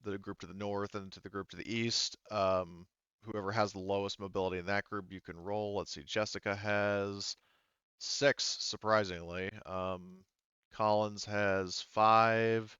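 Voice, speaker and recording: A man working as game master, talking casually through tabletop rules in a halting way, thinking aloud. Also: lowish voice, little pitch movement, pushed and bright, smooth, no echo, dull audio.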